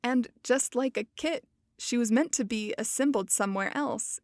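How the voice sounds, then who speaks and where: A woman's voice: fairly high pitch, normal speed, no room sound, clean studio sound. A woman hosting a podcast, explaining in a casual, playful and self-mocking way. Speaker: podcast host. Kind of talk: casual educational podcast talk.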